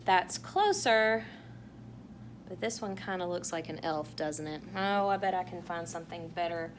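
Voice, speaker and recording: A woman reading a picture book aloud to young children in a playful, animated way, asking exaggerated wondering questions. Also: on the low side, normal speed, slight background noise. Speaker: children's read-aloud host.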